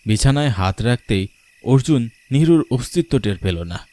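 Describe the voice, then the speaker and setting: A man's voice: medium pitch, animated, normal speed, dark tone, no room sound. A man narrating a scripted cartoon folk tale as a dramatic storyteller. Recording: music behind the voice.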